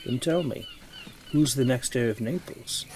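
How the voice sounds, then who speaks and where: A man's voice: mid-range voice, animated, darkish tone, slight background noise, lightly acted. A man playing a scheming, ambitious usurping nobleman in a Shakespearean audio drama, with an insinuating, rhetorical delivery. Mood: insinuating, persuasive. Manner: insinuating, rhetorical, deliberate emphasis. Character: scheming, ambitious usurping nobleman tempting his companion to murder. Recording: remote recording with music underneath.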